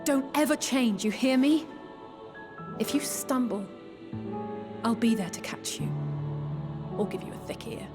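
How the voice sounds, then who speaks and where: An actress voicing a supportive young woman in a video game, speaking with gentle, teasing affection. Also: on the high side, a little slow, pauses often, music in the background, lightly acted. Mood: tender, teasing. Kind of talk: dramatic video game voice acting.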